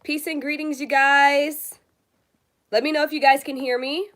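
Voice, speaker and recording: A woman's voice: sits high, fairly even intonation, moderate pace, a bit bright. A woman hosting a casual spiritual livestream, a little congested, talking straight to her viewers. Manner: chatty, addresses viewers directly. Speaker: astrology livestream host. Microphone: phone or webcam, live stream.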